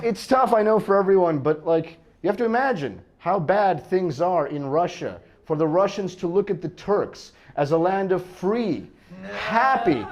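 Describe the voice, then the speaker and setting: An American man doing stand-up crowd work, bantering in a halting, self-interrupting way and often chuckling. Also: on the high side. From United States. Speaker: stand-up comedian.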